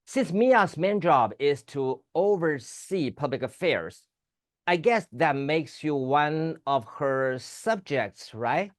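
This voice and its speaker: A middle-aged or older man from Taiwan with a Taiwanese accent, interviewing in polite, halting English as a non-native speaker whose first language is Chinese. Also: on the high side, lively intonation. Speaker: talk show host and interviewer. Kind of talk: formal interview with light banter.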